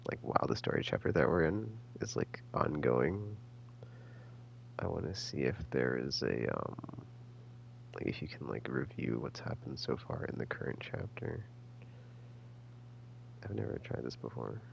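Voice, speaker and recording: A man giving casual, rambling game commentary as a streamer, halting and slangy as he thinks out loud. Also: extremely low pitch, sing-song, gravelly, slight background noise, rough recording. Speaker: gaming streamer.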